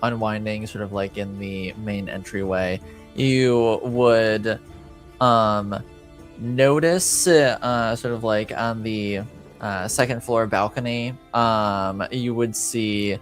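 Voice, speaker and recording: Guy game mastering a tabletop rpg, slow n hesitant, lots of pauses, soft music behind. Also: on the low side, steady tone. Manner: hesitant, halting delivery with many pauses. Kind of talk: improvised narration in a tabletop game.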